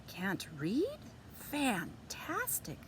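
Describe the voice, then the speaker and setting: A woman's voice: wildly swooping pitch, very slow, exaggerated voice. A woman voicing a cheery, grandly enthusiastic little bird teacher in a theatrical read-aloud of a children's storybook. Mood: delighted, grand. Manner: theatrical, exclaiming, chirpy. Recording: noisy room with background rumble.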